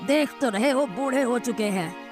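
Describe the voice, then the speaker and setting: A woman's voice: a little high, normal speed, close to an everyday voice. A woman playing an ageing, genial village headman in a cartoon moral story, speaking in a reassuring way. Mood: earnest. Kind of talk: animated moral story dialogue. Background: background music.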